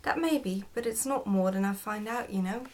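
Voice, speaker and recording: A woman voicing a sharp-tongued goblin mother in a storybook, nagging and insistent. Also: medium pitch, normal speed, a little hiss or hum, lightly acted.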